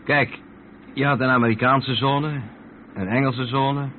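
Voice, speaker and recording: An actor playing a calm truck driver who explains things patiently to his mate in a radio drama. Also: medium pitch, a little slow, natural voice. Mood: patient, explanatory. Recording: tinny narrow-band radio recording with vehicle noise.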